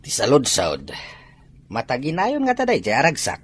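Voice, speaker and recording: A man's voice: mid-range voice, huge pitch swings, moderate pace, a little hiss or hum. A man playing a curious middle-aged father of a married son in an audio comedy drama. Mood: musing.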